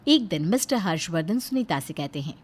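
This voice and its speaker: A woman's voice: on the low side, expressive, fairly quick, a little mellow. A woman narrating a scripted moral story as a storyteller.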